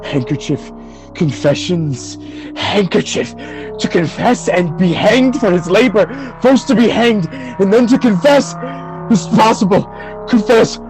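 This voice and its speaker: Man acting a tormented jealous general, highly varied pitch, slightly high voice, impassioned theatrical radio-play verse, brooding. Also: expressive, a little slow, music in the background. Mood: frenzied anguish.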